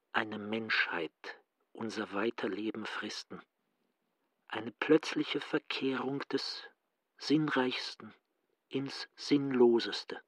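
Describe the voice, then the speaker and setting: An actor in a radio play playing a grave, philosophical inner voice, speaking in a deliberate, emphatic, rhetorical way. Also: male voice, mid-range voice, dry recording, poor audio. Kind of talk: radio drama acting, literary monologue.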